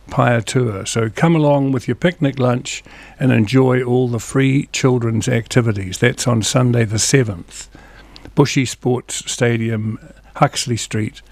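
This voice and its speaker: Community TV host, a New Zealand man who reads listings in a plain, friendly way; mid-pitched voice, dry close studio sound. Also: a little mellow, no room sound. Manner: plain, friendly listing of dates and phone numbers with small asides. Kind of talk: reading local event listings, casual chat.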